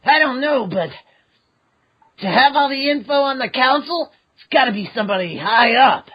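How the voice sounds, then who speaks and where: A fan doing a shouting hot-headed young hero. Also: high-pitched, animated, moderate pace, slightly rough, lo-fi sound, voice pushed up. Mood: urgent. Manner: urgent, shouting. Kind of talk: fan dub of a manga chapter.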